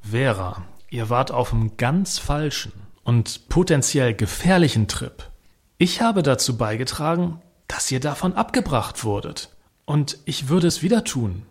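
An evasive, self-justifying turncoat. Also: male voice, medium pitch, big pitch swings, unhurried, husky, full character voice. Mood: coolly defensive. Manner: evasive, coolly defensive. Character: evasive, self-justifying male singer-songwriter turned informer. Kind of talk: German radio play dialogue on a phone call.

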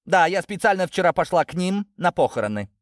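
A man's voice: fairly low pitch, big pitch swings, normal speed, dry recording, clean studio sound. A man voicing a defiant young adult daughter, hurt and bitter, with an emotional, biting delivery in an audiobook. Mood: defiant shouting.

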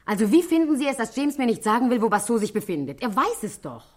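Brash, scornful widow for a 1960s radio play: theatrical, very animated pitch, quick to take offence. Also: female voice. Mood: indignant, scornful. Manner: theatrical, indignant. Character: brash, indignant adulterous widow, quick to scold.

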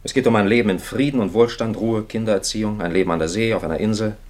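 An actor playing a weary, principled priest in a 1950s radio play, impatient and plain-spoken, with dry, earnest retorts. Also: male voice, on the low side, fast talker, darkish tone, close to an everyday voice. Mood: impatient, reproachful.

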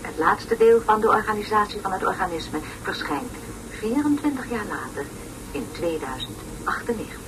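A woman's voice: mid-range voice, animated, normal speed, close to an everyday voice. An actress playing a pompous, long-winded woman lecturer on an educational tape in a radio play, speaking in solemn, over-articulated phrases. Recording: noisy, distant old radio play recording.

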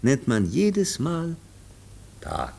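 A man's voice: mid-range voice, wildly swooping pitch, very slow, vocal fry, mellow, breathy edge, exaggerated voice. A man playing a patient, kindly teacher in a radio play, explaining things simply and carefully as if to a child. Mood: encouraging. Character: patient, kindly male teacher, gently instructing his pupil. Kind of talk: German radio play acting.

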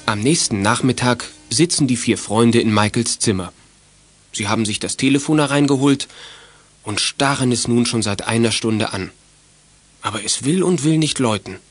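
Ein Mann erzählt als Sprecher ein Kinderhörspiel vom Manuskript, mit belegter Stimme. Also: male voice, mid-range voice, moderate pace, dry recording, faint music behind, close to an everyday voice. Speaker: narrator. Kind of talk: scripted children's radio play narration.

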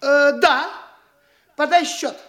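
An actor playing a vain, flighty young gentleman braggart from the capital, with an affected, pompous delivery in a classic Russian stage comedy. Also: very high voice, slow, a little hiss or hum, exaggerated voice. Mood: irritated. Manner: affected, pompous, self-important.

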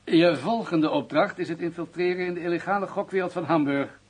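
An actor playing an unyielding police superior in a radio play, brusque, commanding and dismissive. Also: male voice, a little high, a little fast, husky. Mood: curt, dismissive. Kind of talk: radio drama acting.

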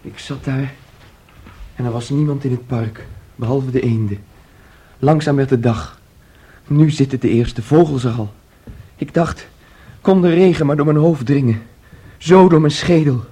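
Troubled, husky, dreamy young man. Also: medium pitch, dark tone. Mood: dazed, brooding. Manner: brooding, dreamy, halting. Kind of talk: radio play acting.

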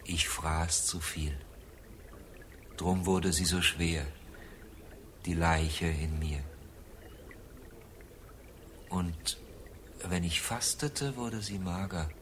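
An actor playing a melancholy, morbidly confessional man in a literary radio drama monologue, speaking in deliberate, measured phrases with pauses. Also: low-pitched, a bit bright, slight background noise, heavily acted. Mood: brooding, resigned.

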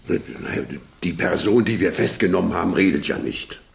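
An actor playing a gruff, insistent official with a gravelly voice in a German radio crime drama. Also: male voice, low voice, normal speed. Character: insistent, gruff official questioning others about a case.

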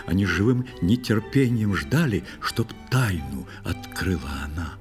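A man narrating a children's audio play, telling a verse tale in a measured, declamatory and expressive manner. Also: sits low, soft background music.

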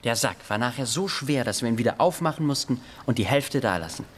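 An actor playing a timid, well-bred schoolboy who boasts eagerly and nervously in a radio play. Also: male voice, mid-range voice, lively intonation, a little fast, a little hiss or hum, natural voice. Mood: boastful.

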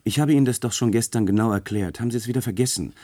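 Male actor as brisk nervous conspirator, quick pace, slightly low voice, dry close sound, insistent, radio drama. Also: mellow, no room sound, natural voice. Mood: impatient, pressing. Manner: insistent, clipped, explanatory. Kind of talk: German radio play acting.

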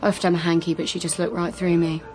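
A woman's voice: on the low side, flat delivery, rapid-fire, over music, lightly acted. An actress playing a blunt, gossipy working-class woman in a radio drama, chatty and scornful.

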